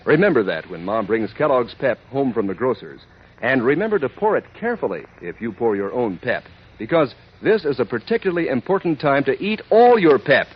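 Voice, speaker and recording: Casting: male 1940s cereal pitchman, folksy and chummy, very lively intonation, rough-edged voice, dull old radio sound. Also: brisk, slight background noise. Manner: chummy, folksy sales patter. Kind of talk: 1940s radio advertising read. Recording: muffled 1940s radio recording.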